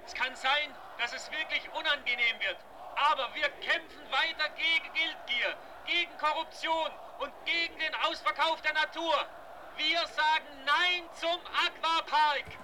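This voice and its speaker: A man's voice: very high voice, steady tone, a little slow, noisy background. A man playing a defiant, idealistic environmental protester giving an emphatic rallying speech in a radio drama.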